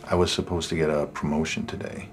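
A man's voice: sits low, steady tone, normal speed, over music. An actor playing a dejected, self-blaming middle-aged family man, speaking hesitantly and in a subdued way, as in a naturalistic film drama. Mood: guarded, dejected. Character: downcast, self-blaming husband and father struggling with money.

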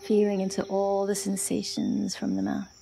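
A woman leading a guided yoga nidra meditation as an instructor, speaking softly and soothingly in short phrases with long pauses. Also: medium pitch, monotone, creaky, slight background noise.

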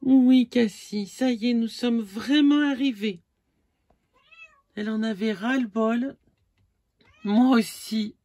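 A woman's voice: a little high, slow, pauses often, dark tone. A middle-aged woman chatting casually to her viewers from inside a camper van, hesitant and full of fillers, filmed close up. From France. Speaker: vlogger. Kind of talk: casual travel vlog. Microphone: phone or camera close to face.

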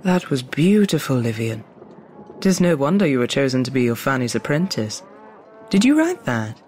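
Over clear background noise, a man plays a young apprentice in a fantasy audio drama. He is polite and curious, speaking formally and earnestly with a lively, swinging pitch. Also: normal speed, natural voice. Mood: admiring. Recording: clearly noisy background of clicks and horse-like sounds.

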